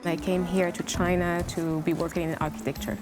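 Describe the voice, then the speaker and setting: A woman's voice: on the low side, flat delivery, brisk. A woman from Morocco, presenting a documentary with on-location chat and narration. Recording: music behind the voice.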